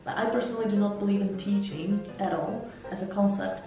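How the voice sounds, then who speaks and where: A woman from Slovakia with a Slovak accent, an interpreter and language mentor, giving measured, explanatory interview answers. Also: mid-range voice. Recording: echoey room, heard from some distance, soft music.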